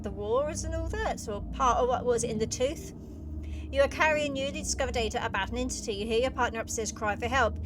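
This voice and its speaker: A woman giving casual game commentary and reading on-screen text aloud as a video host. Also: a little high. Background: clear music.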